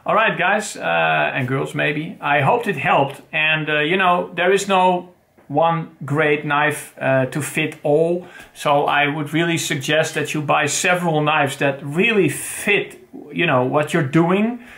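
Gravelly middle-aged man, rambling gear talk. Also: a little high, takes it slowly, fairly bright tone. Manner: rambling, many fillers and restarts. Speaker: channel host. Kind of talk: casual gear review. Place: home study with bookshelves.

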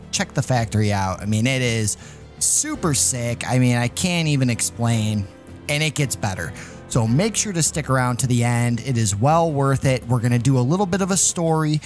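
A man's voice: mid-range voice, darkish tone. Male gaming host over music. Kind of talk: casual gameplay commentary with light roleplay storytelling.

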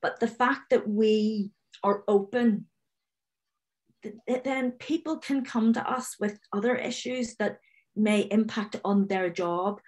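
Northern Irish woman, 40s, video call panellist; open stammer with repeats and blocks, unhurried, self-assured. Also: medium pitch, a little mellow. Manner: confident, direct. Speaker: webinar panellist, finance manager. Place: home room, indoors. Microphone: video call webcam.

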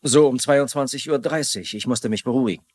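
A man's voice: mid-range voice, a little fast, a little mellow, close to an everyday voice. An actor playing a defensive, indignant male murder suspect in a dubbed TV mystery.

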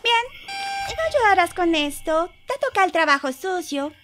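Woman as a very high-voiced sardonic cartoon cat girl, Latin American. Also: lively intonation, measured pace. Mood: dry, bossy. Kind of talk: animated cartoon fan dub acting.

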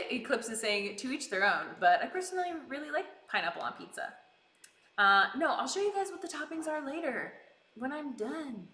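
A woman hosts a casual livestream in a bubbly, giggly manner. Her voice is fairly high and very bright, and she calls out greetings to viewers. The room around her is noticeably echoey. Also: big pitch swings, normal speed. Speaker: live streamer hosting a cooking stream. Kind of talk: casual chat with live viewers. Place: indoors in a kitchen. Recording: roomy, echoing indoor sound.

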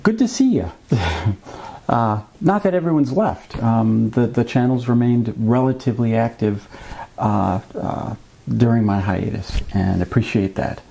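A man in his fifties hosting a fishing vlog, explaining things to camera in a conversational, measured way with wry asides. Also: mid-range voice, gravelly, dark tone. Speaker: fishing channel host. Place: small indoor room at a desk with a computer.

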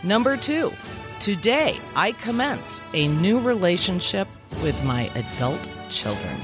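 A woman in her fifties, a podcast host, delivering a mock commencement speech in a measured, deliberate way with emphatic pauses. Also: fairly low pitch, sing-song. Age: 50s. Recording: music bed underneath.

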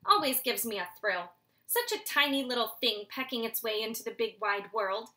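A woman's voice: on the high side, lively intonation, moderate pace, bright, forceful tone. Woman doing a jolly father barn owl. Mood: delighted.

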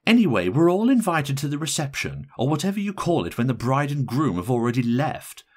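A man's voice: mid-range voice, very animated, brisk, voice pushed up. A man voicing a brash, irritable married woman in an audiobook, blunt, emphatic and sarcastic. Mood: brisk, sardonic. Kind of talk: audiobook character voice.

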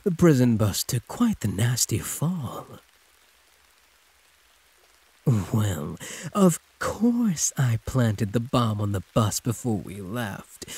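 A hulking male werewolf, played by a man, purrs possessively in an intimate audio roleplay. His voice is extremely husky, with swooping pitch and an unhurried drawl. He teases like a predator toying with prey. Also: darkish tone, no room sound, full character voice. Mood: teasing, possessive. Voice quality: husky, breathy. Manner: drawling, teasing pet names, predatory purr. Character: possessive, growling male werewolf prisoner, huge and teasing.

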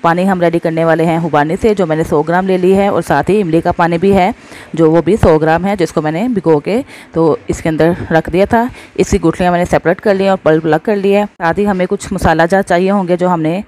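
Warm-voiced woman giving a recipe walkthrough. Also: fairly low pitch, fairly even intonation, brisk. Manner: friendly, addresses viewers often, mixes in English words. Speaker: cooking channel host. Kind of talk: step-by-step recipe voice-over.

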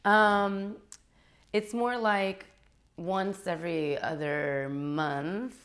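A woman's voice: mid-range voice, fairly even intonation, very slow, a bit bright. An American woman in her twenties playing a flustered date who stammers evasive excuses in a comedy sketch. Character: flustered young woman caught between two dates. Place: furnished indoor room, filmed sketch.

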